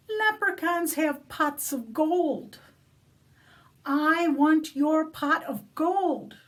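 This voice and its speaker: A woman's voice: sits high, voice pushed up. Woman doing a bossy, cranky little girl. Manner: demanding, loud, snappish.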